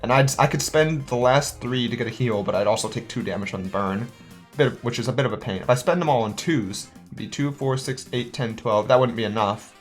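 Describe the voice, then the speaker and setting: A man's voice: medium pitch, brisk. A chatty male let's-play host talking casually as he plays, thinking aloud and muttering counts under his breath. His voice sits in a middling register. Light music runs beneath him. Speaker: gaming channel host.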